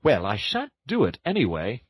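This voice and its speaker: A man voicing an excitable, boastful male toad in a storybook reading, high and loud. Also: moderate pace, breathy edge, dry recording, exaggerated voice. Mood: indignant.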